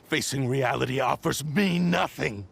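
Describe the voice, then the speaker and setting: An actor playing a proud, bitter spirit of a cursed sword in a video game, speaking in haughty, formal phrases. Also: male voice, medium pitch, unhurried, gravelly. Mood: indignant, obsessive. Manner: haughty, archaic formal speech. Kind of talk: dramatic video game voice acting.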